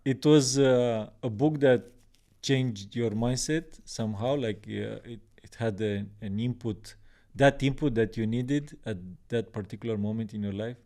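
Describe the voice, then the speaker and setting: A man's voice: mid-range voice, measured pace, dark tone. A man hosting a podcast, asking short, friendly questions in a casual style. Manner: friendly, brief prompting questions. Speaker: podcast host. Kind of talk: casual interview.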